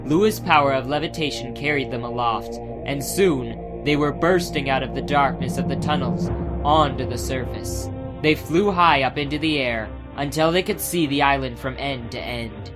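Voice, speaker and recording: A man's voice: medium pitch, moderate pace. A man giving a scripted, dramatic narration of a fantasy audiobook. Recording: music behind the voice.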